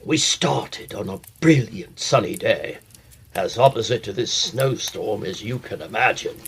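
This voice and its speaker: An actor playing a well-bred, wry gentleman traveller in a period audio drama, speaking formally and articulately. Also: on the low side, lively intonation, unhurried. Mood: reflective.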